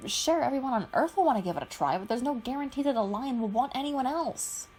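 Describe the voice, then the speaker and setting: Woman doing a worried young guy, soft-hearted, talks fast. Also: high-pitched, animated, a little hiss or hum, pitched above the performer's own voice. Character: young man, big-hearted and anxious.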